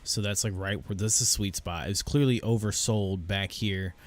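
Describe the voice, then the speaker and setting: A man's voice: fairly low pitch, fairly even intonation, moderate pace. A man in his thirties on a casual livestream, thinking aloud as he reads charts. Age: 30s. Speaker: co-host. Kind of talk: casual livestream stock talk.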